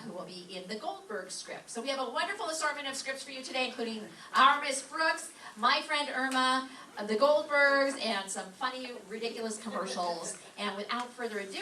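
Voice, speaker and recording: A woman with a bright, fairly high voice speaks from some distance in an echoey room. She gives an informal, chatty welcome as director of a show, joking as she goes. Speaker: class director introducing the show. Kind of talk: informal welcome speech to an audience. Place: live show room with small audience. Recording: roomy, distant mic, faint noise.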